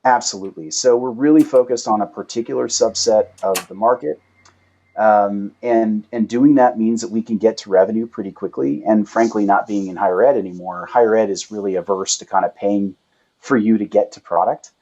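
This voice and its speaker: A man's voice: fairly low pitch, fairly quick, dark tone, low-quality recording. A man answering as a startup founder in an interview, explaining at length and punctuating points with rhetorical questions. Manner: uses rhetorical questions and tag "right?". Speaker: startup founder and chief executive, guest.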